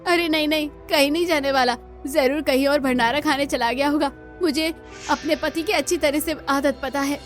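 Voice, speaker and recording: A woman voicing an irritated, dismissive village wife in a cartoon story, brisk and sure of herself. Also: high-pitched, steady tone, faint music behind, natural voice. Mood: puzzled, confident.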